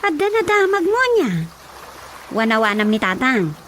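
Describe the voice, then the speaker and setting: A chatty daughter with a very high voice and an Ilocano accent, lively in radio drama comedy style. There is faint noise behind the voice. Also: female voice, moderate pace.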